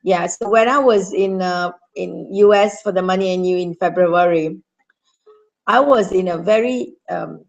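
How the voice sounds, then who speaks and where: A woman from Singapore hosting an online call, soft-spoken and reflective. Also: lowish voice, a little slow, gravelly, muffled recording. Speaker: host. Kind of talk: online video call chat. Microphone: computer mic on a video call.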